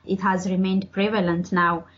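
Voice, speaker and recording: A young woman from Ethiopia, a doctoral student, answering interview questions thoughtfully with frequent pauses and fillers. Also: mid-range voice, level tone. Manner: thoughtful, hesitant with fillers and repeated words. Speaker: podcast guest, PhD student. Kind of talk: interview conversation. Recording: slightly dull lo-fi audio.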